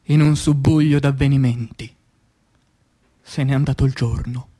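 A man performing a dramatic poetry recitation, declamatory and intense, with dramatic pauses and swings between hushed and forceful delivery. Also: medium pitch, steady tone. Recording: voice over music bed. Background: music.

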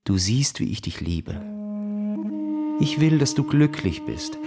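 A man's voice: medium pitch, wildly swooping pitch, slow, full character voice. A young officer speaks with cocky, calculating persuasion. His mid-range voice swings expressively in radio-play style, with music strong behind it. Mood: tender, pleading. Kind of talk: radio drama acting.

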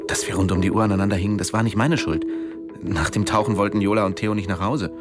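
A man's voice: lowish voice, steady tone, quick pace, over loud music, close to an everyday voice. A man playing a wry, defensive diving instructor who narrates his story laconically in a radio drama.

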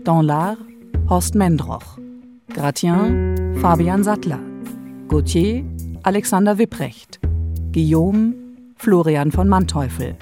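A woman announcer reading scripted radio-play credits in a measured, neutral way. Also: lowish voice. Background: guitar music.

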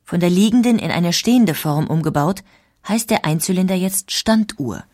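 A female narrator with a husky, fairly low voice reads a scripted documentary. Her delivery is measured and explanatory. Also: animated, a little mellow. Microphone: studio microphone.

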